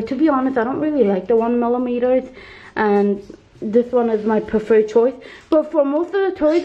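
A congested woman hosting a home craft video, rambling with many ums and self-corrections as she shows her work off camera. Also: high-pitched. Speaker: craft channel host. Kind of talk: casual show-and-tell narration. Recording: dull lo-fi sound, faint noise.

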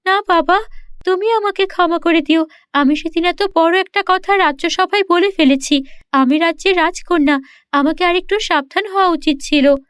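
A woman's voice: extremely high pitch, moderate pace, dry recording, clean studio sound. A woman playing a naive, earnest young princess in a cartoon fairy tale, with a pleading manner. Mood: apologetic.

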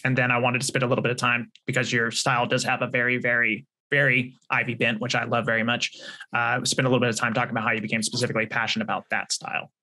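Bright, even-toned male interviewer. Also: medium pitch, rapid-fire. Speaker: host, interviewer. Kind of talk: interview questions. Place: dim home room. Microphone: headphones on a video call.

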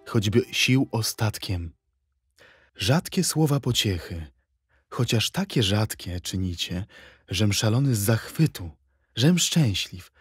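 A man's voice: lowish voice, breathy edge, dry recording, studio quality. A man reciting poetry in a scripted literary performance. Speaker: poetry reader.

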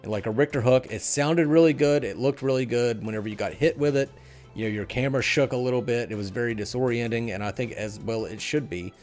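A middle-aged man hosts a relaxed gaming discussion from a small room at home. His voice sits at an average pitch, with faint music playing behind him. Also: fast talker. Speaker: podcast host.

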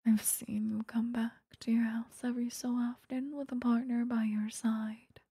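A woman voicing an obsessive, sweetly menacing vampire lover in a breathy, whispered ASMR roleplay, close to the microphone. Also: fairly high pitch, flat delivery, dark tone, no echo. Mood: menacing, jealous. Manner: slow, intimate, coaxing, threatening. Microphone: close microphone, dry.

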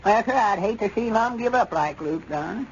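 An actor playing a plain-spoken old country grandpa with a rural Southern accent and a folksy, emphatic manner in a radio comedy. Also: male voice, high voice, expressive, normal speed. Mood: disapproving. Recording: dull, noisy old radio recording.

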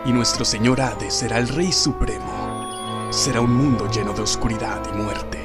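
A man's voice: medium pitch, normal speed, over loud music, natural voice. A man with a Latin American accent playing a solemn, sinister servant of an underworld lord in an anime fan dub. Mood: ominous. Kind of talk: anime fan dub villain acting in Spanish.

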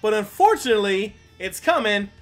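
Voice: mid-range voice, sing-song, a little fast. An enthusiastic, chatty gaming YouTuber, giving casual let's play commentary.

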